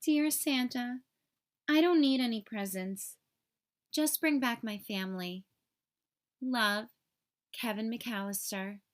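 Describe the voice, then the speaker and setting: Woman reading as an earnest, high-voiced young boy. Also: takes it slowly, long pauses. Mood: wistful. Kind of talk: children's storybook read-aloud.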